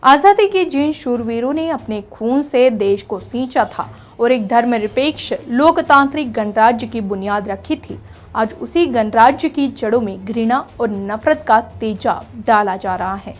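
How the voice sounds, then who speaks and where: A woman's voice: on the high side, animated, measured pace. A woman presenting a scripted news report in an earnest, rhetorical manner. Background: background music.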